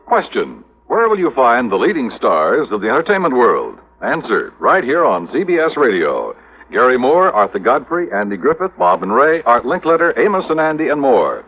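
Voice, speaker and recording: An American man works as a showy 1950s radio announcer. His pitch leaps around dramatically and the voice has a husky edge. It is heard through a thin, phone-like vintage broadcast. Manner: showy promotional delivery. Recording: tinny, narrow-band vintage radio broadcast.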